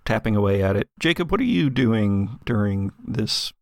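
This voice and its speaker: A man narrating a tabletop role-playing game as game master, improvising scenes in a halting way as he searches for words. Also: lowish voice, animated, vocal fry, dry recording, studio quality.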